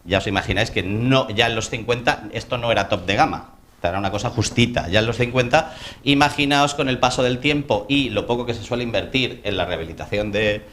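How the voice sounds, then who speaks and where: A man's voice: medium pitch, a little fast, a bit bright, a little hiss or hum. A man with a Spanish accent from Spain giving an informal conference presentation as an architect, colloquial and self-interrupting, with joking asides.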